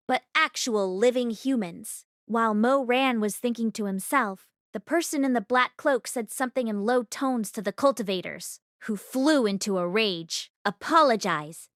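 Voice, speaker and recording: A woman narrating a story aloud in an expressive read-along style. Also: a little high, no echo, studio quality. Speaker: audiobook read-along host.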